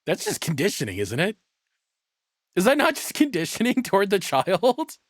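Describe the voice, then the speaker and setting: A man's voice: high-pitched, huge pitch swings, normal speed, dry recording. A man giving casual reaction commentary as a streamer, rambling and thinking aloud with frequent swearing, close to the microphone.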